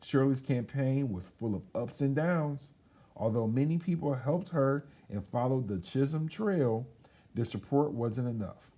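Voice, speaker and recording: Husky man reading a storybook with lively swings. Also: medium pitch, a little slow, muffled recording. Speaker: children's read-aloud host. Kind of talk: reading a children's picture book aloud.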